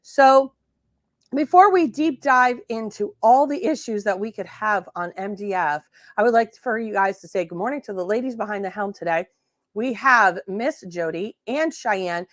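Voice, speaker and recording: A hoarse woman hosting a casual livestream crafting tutorial, sassy and chatty, often restarting her sentences. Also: medium pitch, normal speed, no echo, clean studio sound. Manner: sassy, chatty, self-correcting. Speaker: host.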